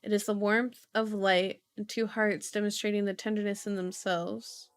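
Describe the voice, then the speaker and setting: Woman, livestream host, casual chat and reading aloud, musing, drawn-out words, mid-pitched voice, music in the background. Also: flat delivery, clean tone.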